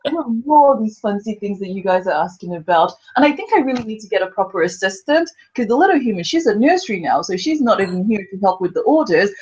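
A woman hosting a casual interview podcast, chatty, rambling and self-deprecating, her voice husky from a cold. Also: mid-range voice, big pitch swings, fast talker, few pauses, a little mellow, muffled recording. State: has a cold. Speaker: podcast host.

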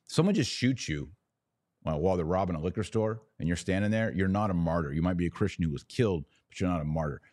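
A man's voice: low-pitched, expressive, fast, darkish tone, dry recording, studio quality. A man hosting a live religious commentary stream, reading out comments and answering them in an emphatic, preachy way with short repeated declarations. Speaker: podcast host.

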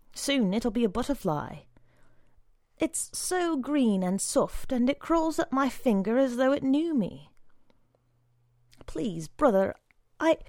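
A woman's voice: a little mellow, dry recording. A frightened young boy pleads in a raised, tumbling voice, played by a woman reading aloud. The sound is dry and close. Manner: high, pleading, hurried.

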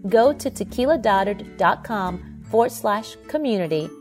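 A woman's voice: medium pitch, animated, moderate pace, a little mellow. A woman reading a scripted, friendly podcast outro inviting listeners to join a group. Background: background music.

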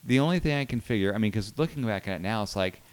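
Easygoing man, forties, podcast co-host, smooth lowish voice, joking asides. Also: lively intonation, fast talker. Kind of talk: casual chat.

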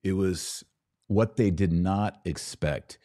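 A man's voice: low voice, takes it slowly, mellow. A man hosting a podcast, giving casual, opinionated political commentary in a conversational way with some mocking mimicry.

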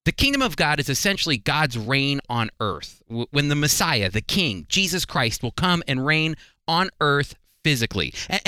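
A man's voice: a little high, bright, forceful tone, smooth, no echo, clean studio sound. A man teaching the Bible as a radio host in a conversational, earnest and explanatory way.

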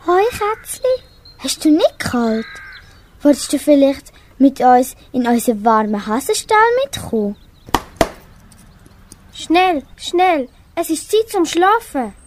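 Girl acting a high-voiced, tender pet owner. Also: sing-song, slow, pauses often, darkish tone, a little hiss or hum, full character voice. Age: young teenager. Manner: tender, coaxing. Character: caring girl who looks after pets. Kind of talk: amateur radio play acting.

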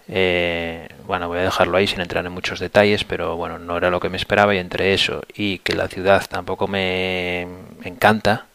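A man hosting a casual solo podcast, hoarse from a flu, talking in a relaxed, rambling way with many fillers and restarts, recorded on a headset mic at his computer. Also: low-pitched, no echo. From Asturias, Spain. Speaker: podcast host.

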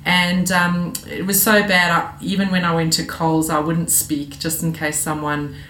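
A woman from South Africa with a South African accent, giving an earnest personal testimony in a recorded video, pausing often with "um". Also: on the low side, flat delivery, fairly bright tone. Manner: earnest, personal, with frequent "um" pauses. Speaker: church member giving testimony. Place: room away from the congregation. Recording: echoey room, faint ambient noise.

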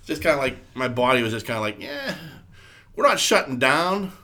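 A man's voice: mid-range voice, very animated, moderate pace, sharp tone. A man co-hosting a podcast, chatting casually with a stuffy, congested voice, rambling, swearing and filling his talk with "like". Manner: rambling, swears freely, fills with "like". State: congested from a cold. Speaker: podcast co-host. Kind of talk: casual chat.

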